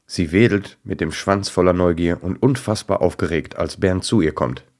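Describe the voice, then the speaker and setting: A man's voice: deep voice, moderate pace, darkish tone. A man narrating a children's story as storyteller.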